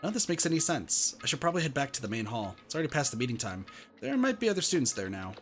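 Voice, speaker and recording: A man's voice: medium pitch, big pitch swings, rapid-fire, a bit bright, soft background music. A man reading a visual novel as its bewildered, earnest teenage schoolboy hero. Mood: bewildered, uneasy. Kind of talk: reading game dialogue in character.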